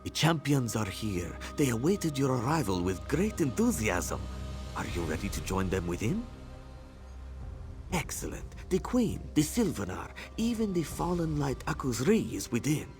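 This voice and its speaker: A man's voice: medium pitch, sing-song, moderate pace, over music. A man voicing a formal, reverent cat-folk temple attendant in a fantasy video game. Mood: reverent welcome.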